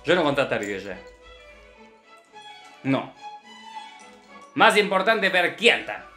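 A man's voice: a little high, big pitch swings, slow, sharp tone, heavily acted. A man playing a strict, blunt, theatrical Russian ballet teacher in a comic commentary, with a Russian accent and broken grammar. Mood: exacting, blunt. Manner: broken Spanish with infinitive verbs, chanted counting syllables. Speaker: ballet teacher reviewing dancers' videos. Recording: roomy echo with faint music behind.

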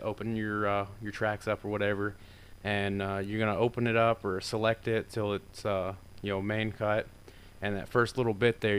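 A man with a deeper voice talking through a software tutorial in a loose, rambling way, full of fillers. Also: smooth. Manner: rambling and informal, with many fillers. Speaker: tutorial maker. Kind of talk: casual screen-recorded software tutorial.

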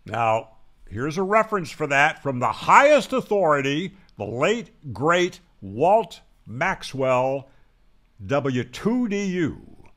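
A man's voice: a little high, fairly bright tone. An American man in his sixties explaining to camera in a conversational, emphatic and wry way, close on the mic. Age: 60s. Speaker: host of a ham radio tutorial channel. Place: small home room with guitars, indoors. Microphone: close broadcast mic on a boom.

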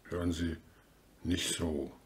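Man acting a deep, slow, gloomy customer. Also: flat delivery. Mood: annoyed. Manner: downcast, hesitant, grumbling. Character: gloomy, hesitant male customer who lost his laughter. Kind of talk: mini radio play dialogue in German. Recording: amateur recording with clear background noise.

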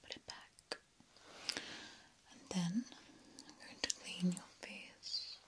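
Woman playing a slow, whispering vampire countess. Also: lowish voice, steady tone. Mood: attentive, soothing. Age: young adult. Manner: slow, deliberate, formal whisper. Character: vain, menacing vampire countess, politely attentive hostess. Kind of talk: whispered ASMR roleplay. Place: small dark indoor set, close to camera. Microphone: close ASMR microphone.

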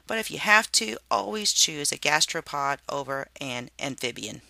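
Someone voicing an exasperated, pompous father worm, bright and forceful. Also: medium pitch, lively intonation, normal speed, gravelly, no echo, full character voice. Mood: lecturing. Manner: pompous, lecturing, folksy asides. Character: exasperated, pompous father earthworm storytelling to his son.

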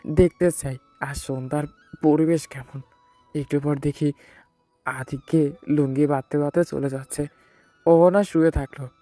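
A man's voice: medium pitch, warm tone, no room sound, lightly acted. A man playing a betrayed, heartbroken husband who narrates his own story in a sorrowful, earnest way. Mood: pained, tense. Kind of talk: dramatic first-person audio story narration.

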